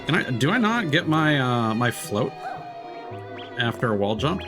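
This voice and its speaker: A man's voice: medium pitch, very animated, measured pace. A man streaming a video game, thinking aloud in casual, rambling commentary while he plays. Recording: game music behind the voice. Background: video game music.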